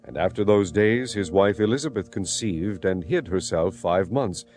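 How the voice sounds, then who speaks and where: A man's voice: sits low, lively intonation, moderate pace, a little mellow. A man narrating scripture dramatically, in a solemn storytelling manner. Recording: music behind the voice.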